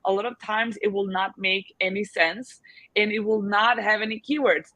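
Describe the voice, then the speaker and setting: A woman's voice: mid-range voice, fairly even intonation, moderate pace, sharp tone, no room sound. Chatty woman giving advice, dry sound. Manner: chatty, frequent fillers and restarts. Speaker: guest expert on selling abroad. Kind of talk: casual advice in a video call Q&A.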